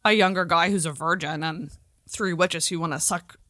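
A woman co-hosting a podcast in casual chat, expressive and quick to swear. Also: on the low side, no room sound, studio quality. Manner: expressive, profane. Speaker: podcast co-host.

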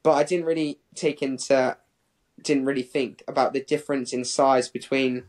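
A man's voice: medium pitch, steady tone, normal speed, darkish tone. A man in his twenties who grew up in Hong Kong and England, talking casually as an interview guest in a rambling way peppered with "like". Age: 20s. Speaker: professional distance runner, interview guest.

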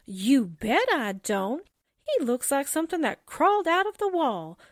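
Actress as wisecracking gal secretary, blunt and suspicious, high and lively pitched, close dry sound, vintage radio play. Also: female voice, unhurried, no room sound, heavily acted. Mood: disdainful. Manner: blunt, slangy. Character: sassy, suspicious, wisecracking female secretary sidekick. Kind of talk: 1940s radio drama acting.

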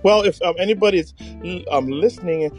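A middle-aged man from Hawaii, chatting casually as a podcast host in hesitant, halting phrases. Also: high-pitched, darkish tone, faint music behind. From Hawaii, United States.

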